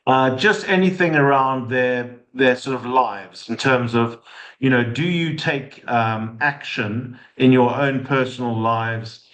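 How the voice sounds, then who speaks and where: Middle-aged South African man, halting online speaker with lots of fillers, webcam sound. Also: mid-range voice, a bit bright, low-quality recording. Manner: halting, many fillers and restarts. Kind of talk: online talk to an audience. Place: office with glass walls. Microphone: video call webcam.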